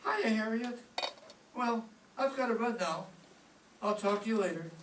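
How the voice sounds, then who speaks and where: A performer playing an eager, mischievous high school boy in an old-time radio comedy, with an excitable delivery. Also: unhurried, natural voice. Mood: chipper. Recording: distant echoey stage with clear noise.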